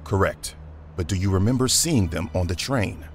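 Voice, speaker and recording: A man's voice: deep voice, animated, a little hiss or hum. A man playing a courteous police detective in an audio drama, speaking politely and formally. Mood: courteous, probing. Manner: polite, measured, official. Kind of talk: naturalistic audio drama acting.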